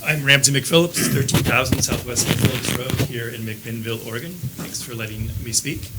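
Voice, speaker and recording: A man's voice: medium pitch, normal speed. A man giving pointed, critical public comment at a county meeting. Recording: noisy public meeting recording.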